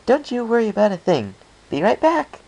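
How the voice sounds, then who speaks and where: A man's voice: high voice, very animated, moderate pace, a little mellow, voice pushed up, full character voice. A man voicing a hyper, cheerful young female storybook pony who chatters and rambles in a bouncy manner. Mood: bubbly, eager. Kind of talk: storybook character voice in fan fiction reading.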